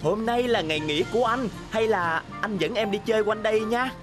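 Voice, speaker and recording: A woman's voice: mid-range voice, brisk, natural voice. A woman voicing a cheerful, friendly young boy bus in a children's cartoon dub, kind and big-brotherly. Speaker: cartoon character. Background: cartoon music.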